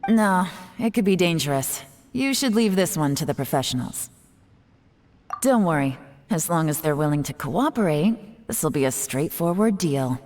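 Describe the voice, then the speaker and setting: A woman's voice: low voice, animated, moderate pace, a little mellow, a little hiss or hum. A woman playing a cool, confident secret operative in a video game, with a composed, businesslike manner.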